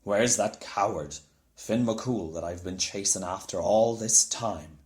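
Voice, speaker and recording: A man doing a loud, bellowing bully giant. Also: on the low side, unhurried, pitched below the performer's own voice, lightly acted. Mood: bellowing, demanding. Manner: bellowing, hollering, blustering. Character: huge bullying male giant, gluttonous, loud and menacing. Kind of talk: storybook character voice.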